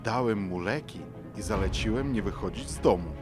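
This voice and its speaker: A man voicing a grave, measured village doctor in an animated horror story over music. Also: fairly low pitch, natural voice. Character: grown village doctor, calm and matter-of-fact. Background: background music.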